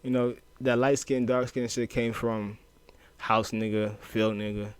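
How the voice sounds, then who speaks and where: A man from the inner city who moved south, a guest talking in a loose, profane way with lots of fillers and lively retelling. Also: lowish voice, unhurried, clear, resonant tone, dry recording. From US inner city, moved to Louisiana. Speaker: podcast guest. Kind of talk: casual chat.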